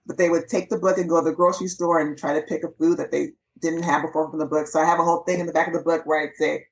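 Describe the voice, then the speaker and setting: A woman's voice: low voice, low-quality recording. A woman from Los Angeles, a physician and author, chatting upbeat in an interview. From Los Angeles, USA. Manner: quick, animated, upbeat and chatty. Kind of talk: casual interview chat.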